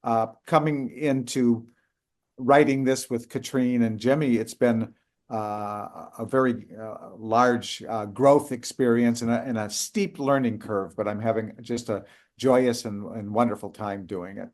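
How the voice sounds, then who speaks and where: An older man answering interview questions about co-writing a musical, speaking haltingly with many fillers and restarts. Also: medium pitch, fairly even intonation, darkish tone. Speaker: guest, co-writer of a musical.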